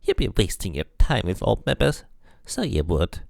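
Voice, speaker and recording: A man's voice: low voice, sing-song, moderate pace, vocal fry, darkish tone, no room sound, studio quality, full character voice. A man voicing a crotchety, suspicious old woman, a hedge witch in a fantasy game, who scolds evasively in rustic dialect. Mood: scornful, dismissive. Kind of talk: fantasy game character reading.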